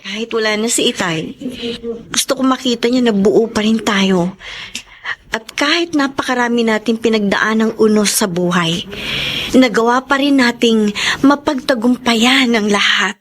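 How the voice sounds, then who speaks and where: Woman acting high-voiced devoted daughter, Filipino accent. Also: moderate pace, lightly acted. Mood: tender. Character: devoted, grateful, devout breadwinner daughter and sister. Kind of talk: Filipino radio drama acting.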